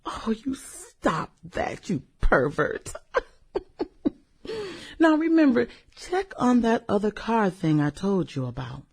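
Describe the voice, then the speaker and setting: Woman acting sultry demanding businesswoman, radio satire, breathy voice, swooping intonation, unhurried, theatrical, coquettish. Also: medium pitch, very animated, a little mellow, exaggerated voice. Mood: flustered, coquettish. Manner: theatrical, coquettish, drawn-out exclamations and giggles. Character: sultry, demanding, manipulative businesswoman juggling rich lovers.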